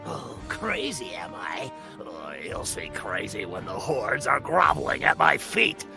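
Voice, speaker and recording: A man's voice: medium pitch, very animated, unhurried, vocal fry, pushed and bright, faint music behind, full character voice. A man voicing a gloating, power-mad villain with a harsh, grating voice in a comic book table read. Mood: vengeful, ranting. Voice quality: harsh, grating like broken glass. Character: gloating megalomaniac villain craving worship and revenge.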